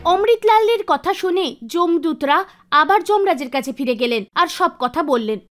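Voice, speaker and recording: Very high-pitched woman narrating a story. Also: expressive, normal speed, faint music behind. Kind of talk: scripted cartoon story narration.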